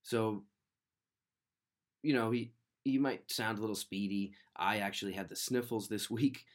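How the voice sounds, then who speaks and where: A man hosting a podcast, a bit nasal and sniffly from a cold, talking in a relaxed, rambling, earnest way. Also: lowish voice, unhurried. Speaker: podcast host. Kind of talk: casual podcast intro.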